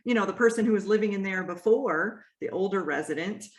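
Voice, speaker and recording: Loud-voiced woman host in a roomy space. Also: mid-range voice, a little fast. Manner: loud, animated, laughs often. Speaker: podcast host. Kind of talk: casual spiritual chat.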